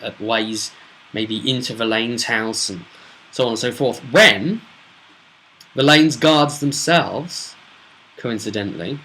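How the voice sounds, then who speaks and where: Male game master, mid-pitched and sharp-edged, delivering a casual, chatty solo campaign recap with punchy asides. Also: rough recording.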